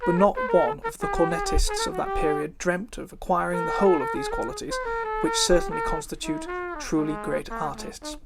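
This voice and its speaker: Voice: mid-range voice, huge pitch swings, normal speed, few pauses, loud music behind the voice. A person with a female-sounding voice reads an old, formal book introduction aloud, occasionally stumbling over words. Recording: cornet playing over the voice. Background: live brass cornet playing.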